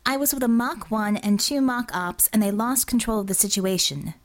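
A woman's voice: mid-range voice, normal speed, close to an everyday voice. A woman voicing a defiant, sarcastic young female healer in an audiobook, arguing in a flippant, clipped way. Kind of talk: audiobook character dialogue.

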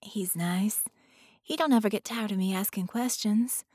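A woman's voice: medium pitch, moderate pace, a little mellow, dry recording, clean studio sound, lightly acted. A woman voicing a shy, hesitant young girl with folksy Southern speech in an audiobook. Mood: shy, earnest. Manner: hesitant, plain-spoken, ungrammatical. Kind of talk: audiobook character voice.

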